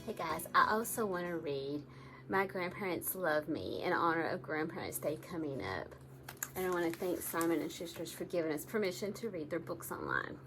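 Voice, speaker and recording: A woman reading picture books aloud to young children in an animated, engaging way, often addressing her listeners directly. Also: fairly low pitch, moderate pace, over music, poor audio.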